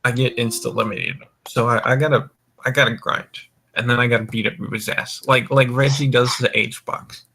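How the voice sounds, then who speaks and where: A man's voice: mid-range voice, brisk, vocal fry, sharp tone, breathy edge, rough recording. A man hosting a casual voice-chat podcast, rambling with frequent restarts and stammered repeats, speaking into a home mic. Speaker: voice call host.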